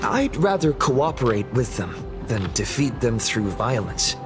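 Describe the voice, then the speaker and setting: A man's voice: medium pitch, sing-song, a little slow. A man playing a modest, easygoing young adventurer in an anime-style game. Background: game music.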